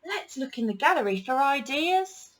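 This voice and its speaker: A woman's voice: high-pitched, sing-song, normal speed, fairly bright tone, pitched above the performer's own voice. A woman voicing a helpful, adventurous young girl in a children's picture book, coaxing and encouraging.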